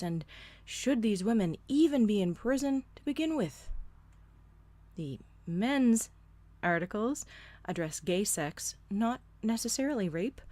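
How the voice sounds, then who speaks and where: A woman's voice: on the low side, warm tone, no echo. A woman delivering scripted opinion commentary in a blunt, sardonic manner, casually profane. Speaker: host of a solo commentary show.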